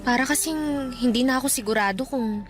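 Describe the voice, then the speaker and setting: Over a prominent music bed comes an actress as a young engaged woman with a fairly high voice. She is gentle and hesitant, speaking in the earnest, conversational way of a radio drama heroine. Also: fairly quick, close to an everyday voice. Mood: troubled, hesitant. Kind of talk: Tagalog radio drama acting. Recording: music bed behind studio dialogue.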